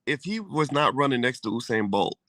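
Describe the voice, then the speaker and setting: A man's voice: medium pitch, sing-song, brisk, fairly bright tone, dry recording, studio quality. A man co-hosting a casual chat show, laid-back and joking, with slangy turns of phrase. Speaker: co-host.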